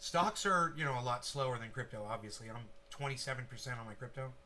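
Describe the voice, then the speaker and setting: A man's voice: medium pitch, fast, pushed and bright, a little hiss or hum. A man hosting a casual livestream, rambling and swearing freely while making sound effects with his mouth. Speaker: channel host.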